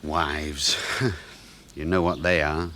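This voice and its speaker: An actor in a radio play voicing a cheeky, breezy middle-aged British suburban husband who teases and muses like a know-all. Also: male voice, rumbling low, fairly even intonation, takes it slowly, bright, forceful tone, full character voice. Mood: jovial, conspiratorial. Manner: breezy, teasing, musing.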